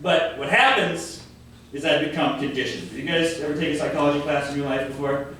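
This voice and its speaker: A man's voice: fairly high pitch, fast, sharp tone, slight background noise. A young man from Minnesota with a Minnesotan accent, performing a self-deprecating stand-up comedy set with crowd work. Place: comedy club stage. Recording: echoey club audio from a distance.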